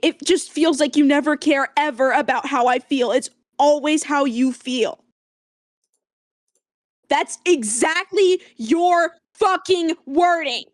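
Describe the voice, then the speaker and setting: A woman's voice: sits high, slow, dry recording, studio quality. A woman in a heated, accusatory argument, demanding answers and swearing. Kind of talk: heated argument in a played clip.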